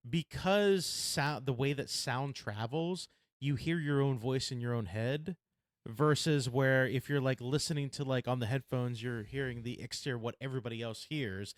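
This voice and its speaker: A young adult man co-hosting a podcast, talking in a rambling casual way with a deep baritone and many filler words. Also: normal speed, dry recording, clean studio sound. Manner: rambling, lots of "like" and restarts. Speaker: podcast co-host. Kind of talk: casual chat.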